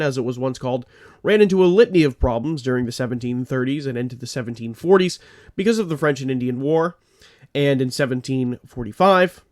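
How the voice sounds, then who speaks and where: Congested man hosting a true crime podcast. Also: medium pitch. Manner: earnest, rambling asides. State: congested, a little phlegmy. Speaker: podcast host.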